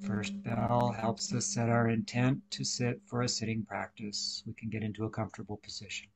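A man leading a guided meditation, speaking deliberately in short instructional phrases with pauses. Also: mid-range voice, level tone, creaky, poor audio.

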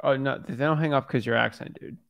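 A man's voice: medium pitch, steady tone, brisk, creaky, a bit bright. A young man in his twenties coaching a student on a video call, talking bluntly and directly into a studio microphone in a home studio. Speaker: wholesaling coach. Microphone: studio mic on a boom arm.

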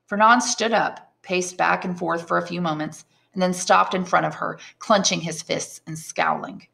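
A woman reading a classic novel aloud as an expressive storyteller. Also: fairly low pitch, normal speed, vocal fry. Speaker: audiobook reader, English teacher. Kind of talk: expressive scripted novel narration.

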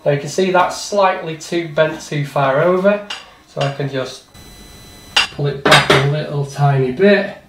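A man's voice: fairly high pitch, measured pace, a little hiss or hum. A middle-aged man, a plumbing instructor, talks through a hands-on demonstration step by step in a plain, practical, self-deprecating way. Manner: plain, practical, self-deprecating, thinks aloud while working. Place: small training workshop, indoors. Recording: echoey workshop with faint clatter.

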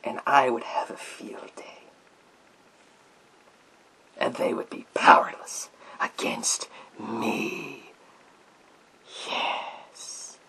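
A man playing a menacing, theatrical psychic warrior in a breathy whisper for ASMR roleplay, speaking slowly through a gas mask. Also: medium pitch, a bit bright, exaggerated voice, poor audio. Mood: smug, menacing. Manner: slow, drawn-out, theatrical delivery. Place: small room lined with bookshelves. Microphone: speaking through a gas mask, close to camera.

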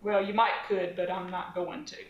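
A woman's voice: fairly low pitch, little pitch movement, moderate pace, lo-fi sound. Lady cooking show host, chatty and folksy, kinda far from the mic in a roomy home kitchen. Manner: chatty and homespun, talking while mixing dough by hand. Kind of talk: casual cooking demonstration. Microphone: camera across the counter.

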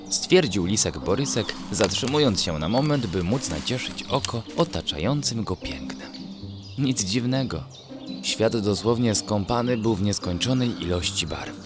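A man tells a children's bedtime story in a very low voice. His narration is gentle, measured and descriptive, with music playing clearly behind him.

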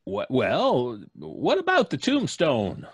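Casting: blustering skeptical small-town doc, husky, extremely animated pitch, radio drama. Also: male voice, slow pace, no room sound, full character voice. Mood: exasperated unease. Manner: blustery, exasperated, folksy. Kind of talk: old-time radio drama acting. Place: home recording, live remote video call.

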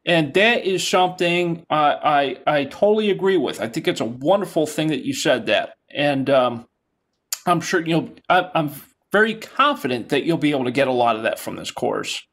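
A middle-aged man leads a class over a webcam call from a home office. His voice sits a little high, and he talks in an encouraging, loose way, often repeating words as he restarts. The sound is plain laptop-call audio. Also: animated, normal speed, a bit bright. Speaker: instructor. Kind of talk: casual class video call. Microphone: video call webcam.

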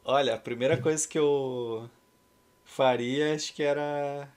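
Brazilian dude hosting a game stream, ironic chatty commentary, normal pitch. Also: male voice, fairly even intonation, measured pace, darkish tone, clean tone. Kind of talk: casual stream commentary and chat. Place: indoor room at home.

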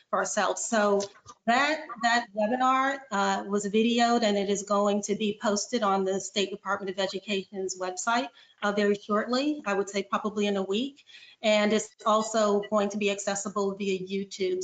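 Echoey video call, woman speaking carefully and haltingly, mid pitch. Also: fairly bright tone. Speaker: education administrator, guest speaker. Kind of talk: informal presentation on video call. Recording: roomy, echoing video call.

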